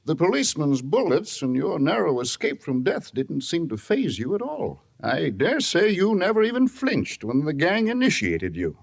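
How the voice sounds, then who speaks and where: An actor playing a stern, shrewd judge with a gravelly voice, admonishing in measured old-time radio drama style. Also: male voice, on the high side, huge pitch swings, full character voice. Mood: dry, admonishing.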